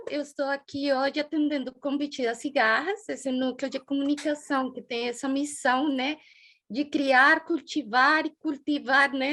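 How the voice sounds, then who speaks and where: A woman with a Brazilian accent and a distinctly high voice hosts a gathering. She speaks affectionately and reflectively, gently inviting the group to be present. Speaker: event mediator and host. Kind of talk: heartfelt opening of a group gathering.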